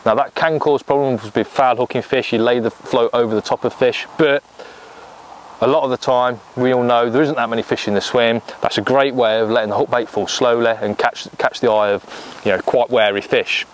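A man's voice: mid-range voice, steady tone, normal speed, slight background noise, poor audio. A man in his thirties with an English accent, giving a practical step-by-step fishing tutorial from a lakeside bank on a lapel mic. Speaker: angling coach.

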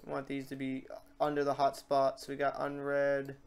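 A man hosting a casual software tutorial, informal and rambling, thinking aloud as he works. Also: medium pitch, monotone, moderate pace, clear, resonant tone. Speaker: tutorial host. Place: indoors at a computer.

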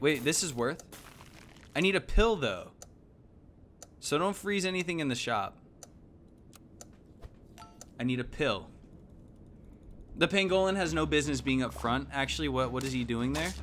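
A man, giving casual, slangy, animated live game commentary. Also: on the high side, moderate pace, long pauses, a little hiss or hum. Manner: animated, exclaiming, thinking aloud. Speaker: game streamer. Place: indoor room at home.

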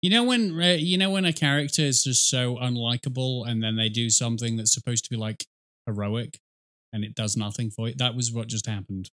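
Bright-voiced sweary man reacting casually. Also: medium pitch, a little fast. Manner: sweary, self-mocking. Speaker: reaction video host, gamer.

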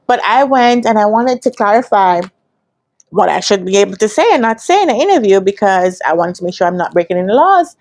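A woman in her thirties with a Jamaican accent, telling a personal story casually to camera in an animated, expressive way, acting out dialogue as she goes. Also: medium pitch, fairly quick. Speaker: business analyst trainer telling her career story. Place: quiet indoor room with curtains. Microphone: lapel.

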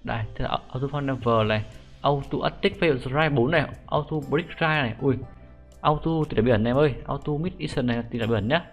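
A young man giving a casual, slangy game tutorial as an excitable YouTuber, talking viewers through a menu. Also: medium pitch, fairly quick. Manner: informal, excitable slang, repeated sentence-end particles. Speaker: gaming YouTuber. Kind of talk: casual screen-recorded game script tutorial. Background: music.

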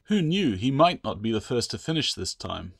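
A man's voice: medium pitch, big pitch swings, moderate pace, gravelly, slightly rough, no room sound, studio quality. A man reading his own fantasy novel as scripted audiobook narration.

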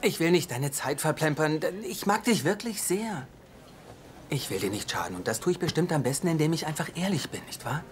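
A man's voice: fairly high pitch, expressive, brisk, slight background noise, close to an everyday voice. An actor dubbing an awkward young man who stammers his way through a breakup. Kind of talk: German dub of a sitcom.

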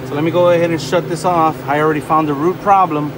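A man's voice: on the high side, steady tone, fast talker. A refrigeration technician talking to viewers while he tests wiring in a store's walk-in cooler, thinking aloud as he works, recorded on a nearby phone. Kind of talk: casual hands-on work vlog. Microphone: phone camera held or propped nearby. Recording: loud machinery and fan noise behind.

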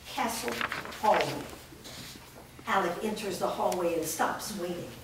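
A woman reads scripted stage directions in a measured, descriptive way. She sounds far from the microphone in a very echoey room, with faint noise behind her voice. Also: mid-range voice. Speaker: narrator reading stage directions. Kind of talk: scripted narration at a staged reading. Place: large bare room with small audience, indoors. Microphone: distant camera microphone.

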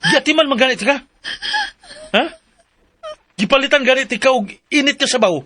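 A man's voice: very high-pitched, normal speed, noisy background. A man playing an abusive, drug-addicted husband in a radio drama, taunting and domineering, with a Cebuano Filipino accent. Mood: taunting, bullying.

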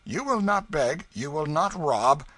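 A man's voice: medium pitch, lively intonation, normal speed, bright, forceful tone, no room sound, voice pushed up. Man acting a wily, blustering beggar-thief. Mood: bossy, hot-tempered. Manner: archaic rogue's speech, blustering and cajoling. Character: wily, bullying male beggar-thief, rough and scheming.

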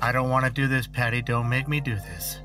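A man's voice: mid-range voice, fairly even intonation, fairly quick, fairly bright tone, soft background music, lightly acted. An actor playing a middle-aged small-town lawman father, distraught and pleading, stammering and repeating himself in an audio drama. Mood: tense, reproachful.

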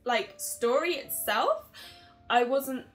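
A woman in her twenties giving a casual, chatty game review to camera in an expressive way. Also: high-pitched, slow pace. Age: 20s. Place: small bedroom, indoors. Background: background music.

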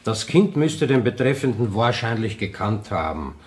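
An actor playing a methodical, deferential police investigator with an Austrian accent in a radio crime drama. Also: male voice, lowish voice, very animated, normal speed. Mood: reporting.

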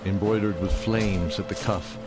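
A male narrator with a very low voice tells a fantasy tale over music. His delivery is scripted, measured and dramatic. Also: fairly even intonation.

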